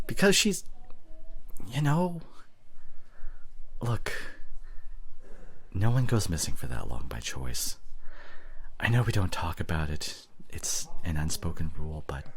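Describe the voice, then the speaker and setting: Devoted, remorseful husband for intimate audio roleplay, male actor: gravelly, breathy, unhurried delivery that moves around expressively and drops soft. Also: sits low, full character voice. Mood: defensive, exasperated. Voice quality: husky, gravelly, often breathy. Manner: soft, halting, drops into whispers. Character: remorseful, devoted husband nursing his injured wife, teasing. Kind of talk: intimate ASMR roleplay drama.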